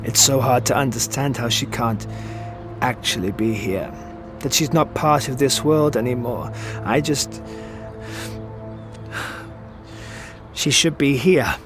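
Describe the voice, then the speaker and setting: An actor playing a suave, sardonic middle-aged master thief and mentor in an audio drama, with florid, theatrical phrasing. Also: male voice, medium pitch, a little slow, lightly acted. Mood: grieving. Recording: music behind the voice.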